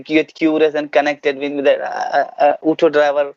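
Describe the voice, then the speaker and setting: A man's voice: fairly high pitch, completely flat delivery, fairly quick, a little mellow, clear, resonant tone, dry recording. Gujarati-accented man on a dull, dry call. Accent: Indian English, Gujarati. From India. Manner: hesitant, many restarts and "you know" fillers. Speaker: auto rickshaw driver, channel host. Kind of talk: casual video call conversation. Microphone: video call.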